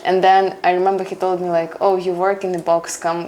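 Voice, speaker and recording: A young woman with a Ukrainian accent, a non-native English speaker, telling her story as an interview guest. She acts out past conversations in a lively way. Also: lowish voice, very fast, slight background noise, rough recording. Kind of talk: casual interview chat.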